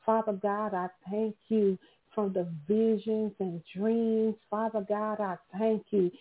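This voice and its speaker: A woman's voice: mid-range voice, flat delivery, slow. A woman from Texas hosting a prayer line, praying fervently and repetitively, sometimes speaking in tongues, heard over a tinny phone line.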